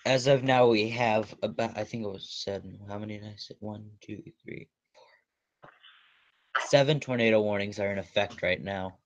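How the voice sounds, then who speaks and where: A man hosting a storm-chasing livestream, commenting on radar in a halting way with frequent restarts. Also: on the low side, no echo. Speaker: storm chaser livestream host. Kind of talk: live weather radar commentary. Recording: dull lo-fi dry audio.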